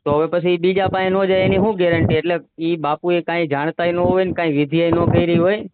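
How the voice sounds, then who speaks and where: A man speaks in a somewhat high voice with a Kathiawadi Gujarati accent. He advises the other person step by step and keeps checking they follow. The sound is a narrow, noisy phone line. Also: fairly even intonation, a little fast. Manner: explanatory, often checking the listener understands. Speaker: ashram holy man giving advice. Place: phone call.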